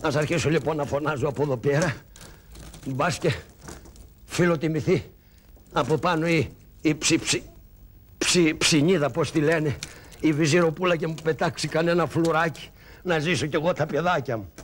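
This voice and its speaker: A man voicing a poor, cheeky male trickster in a shadow-puppet play, full of mocking wisecracks and loud street-crier shouts. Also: on the high side, long pauses, slight background noise, close to an everyday voice. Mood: grumbling. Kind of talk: Greek shadow-puppet theatre.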